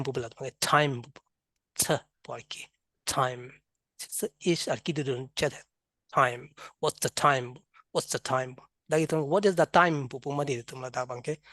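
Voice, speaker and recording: A man with a Karbi accent leads a classroom English lesson, breaking words into sounds and repeating them for his learners. His voice is of medium pitch and sounds dry and close. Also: fairly bright tone, dry recording. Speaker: English teacher. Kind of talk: classroom lesson, mixing local language and English.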